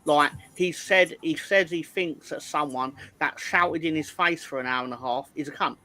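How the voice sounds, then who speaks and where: A man co-hosting a casual late-night football chat, speaking in a measured, wry way. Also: on the high side, sharp tone.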